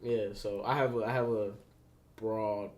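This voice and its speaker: A young man from Detroit, a rapper, talking about his music in a relaxed, slangy podcast chat. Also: on the low side, unhurried. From Detroit, USA. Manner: laid-back, slangy, repeats "you know what I'm saying". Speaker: rapper being interviewed.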